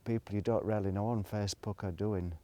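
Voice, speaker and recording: A man's voice: on the low side, fairly even intonation, fairly quick, mellow. A middle-aged man, rambling reflectively in a casual solo monologue, hesitant and thinking aloud as he goes. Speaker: solo video blogger.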